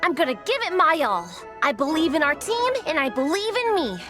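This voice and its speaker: A woman's voice: high-pitched, sing-song, fairly quick, music in the background. A woman voicing an earnest, eager young girl in a video game, with a small, childlike voice. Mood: determined. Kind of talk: English video game voice acting.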